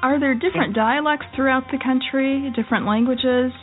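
A woman's voice: high voice, normal speed, soft background music. Curious woman radio co-host, lo-fi. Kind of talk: interviewer questions. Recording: thin lo-fi radio audio.

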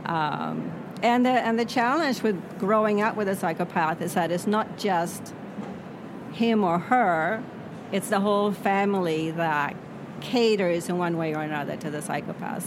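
Give me a woman with Swedish as her first language, speaking thoughtfully over clear background traffic noise. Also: on the low side. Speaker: author guest. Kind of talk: interview conversation. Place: noisy spot near traffic.

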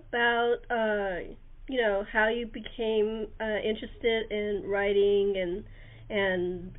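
A woman hosting a show, chatting casually as she interviews a guest over a narrow phone-like connection. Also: a little high. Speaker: talk show host. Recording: tinny, band-limited phone line.

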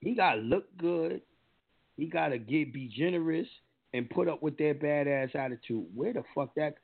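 A man's voice: on the high side, normal speed, gravelly. A man with a New York accent hosting a talk radio show, blunt and profane in his opinions. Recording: lo-fi phone-line sound with faint music.

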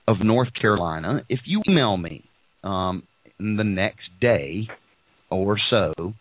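A man with a very low voice, audibly congested and a bit froggy, talks through show announcements in a loose, rambling way. The sound is tinny and phone-like, and the room is dry. Many ums and restarts run through his talk. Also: no room sound. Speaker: podcast host.

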